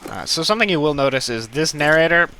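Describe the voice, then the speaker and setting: A man's voice: on the high side, animated, quick pace, pushed and bright, slight background noise, low-quality recording. A man hosting a let's play with casual, hesitant, rambling gameplay commentary.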